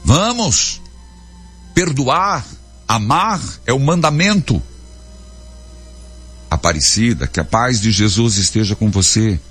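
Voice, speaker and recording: Man, Brazilian accent, radio priest, raspy voice, lively intonation, fatherly scolding, exhorting, soft music behind. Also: medium pitch, slow pace, pauses often. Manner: emphatic, colloquial, fatherly scolding with rhetorical questions. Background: faint music.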